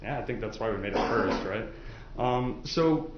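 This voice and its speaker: Muffled, echoey, low-voiced male prosecutor. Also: moderate pace. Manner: conversational, chatty, uses everyday examples. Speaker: prosecutor questioning prospective jurors. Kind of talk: informal courtroom jury questioning. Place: courtroom. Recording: echoey, muffled, noisy courtroom recording.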